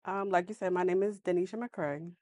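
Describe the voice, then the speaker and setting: Soft-spoken woman in her thirties. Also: lowish voice, fairly even intonation, brisk, no echo, clean studio sound. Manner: soft-spoken, hesitant with ums. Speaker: guest, church community outreach director. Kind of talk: casual interview answers.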